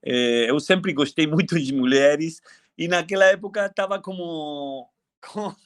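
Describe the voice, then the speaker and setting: Older Argentine-born director, fairly high voice, halting fond recollection. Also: male voice. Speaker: film director at a panel. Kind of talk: reminiscing in a panel talk.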